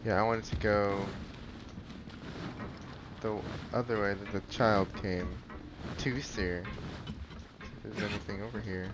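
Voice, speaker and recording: A man's voice: on the low side, steady tone, unhurried, long pauses, background noise. A man giving casual, off-the-cuff commentary on a game playthrough, with wry asides.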